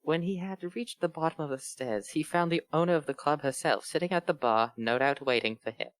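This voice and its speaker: Very low-voiced woman riffing casually. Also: brisk, no echo. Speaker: fan fiction reader and commentator. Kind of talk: casual riffing and read-aloud.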